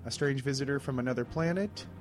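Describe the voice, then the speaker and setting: A man reading a scripted podcast promo voice-over in a fast, punchy announcer style. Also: medium pitch. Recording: loud music bed behind voice.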